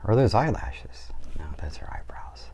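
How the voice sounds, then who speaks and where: A man's voice: lowish voice, sing-song, a little slow, mellow, whispered. A man giving a casual, playful drawing tutorial for kids, rambling and trailing off mid-thought. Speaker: guest artist giving drawing lesson.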